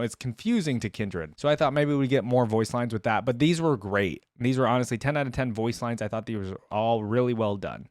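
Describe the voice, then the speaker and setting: A man's voice: medium pitch, a little mellow, dry recording, clean studio sound. A man giving casual reaction commentary as a video host, musing aloud and asking questions as he goes.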